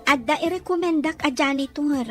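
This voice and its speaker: Radio drama: actress as eager, respectful young office worker, high voice, music behind. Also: female voice, moderate pace, lightly acted. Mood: helpful, deferential.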